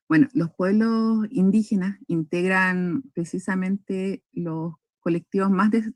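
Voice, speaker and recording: Dry, measured young woman speaker. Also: lowish voice, darkish tone, no echo. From northern Chile. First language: Aymara. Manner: measured, with frequent hesitations and restarts. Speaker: invited speaker at a cultural forum. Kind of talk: formal talk.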